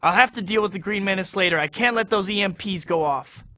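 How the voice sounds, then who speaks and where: A wisecracking young superhero, bright and sharp sounding, game clips with a long echo tail. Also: male voice, high voice, fast talker. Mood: tense. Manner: quippy, sarcastic banter. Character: wisecracking young male web-slinging hero. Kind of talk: scripted game voice acting.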